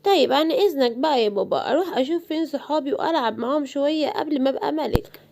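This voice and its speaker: A woman's voice: high voice, lively intonation, very fast, vocal fry, darkish tone, a little hiss or hum. A woman with an Egyptian accent voicing a young lion cub in a children's cartoon, childlike and exclamatory. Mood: cheerful. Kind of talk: animated children's story, acting all characters.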